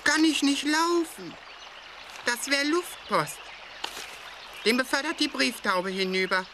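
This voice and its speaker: High, animated hare. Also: female voice, slow pace, noisy background. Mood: objecting. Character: hare, high-voiced, reluctant and brisk. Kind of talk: children's audio play acting.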